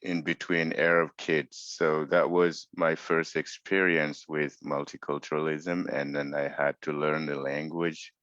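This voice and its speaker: A man's voice: extremely low pitch, flat delivery, measured pace, hardly any pauses, a bit bright, no echo. A man from West Africa speaking earnestly as a panelist on a video call, searching for his words. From West Africa, Mauritania. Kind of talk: online panel discussion. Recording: muffled video call audio.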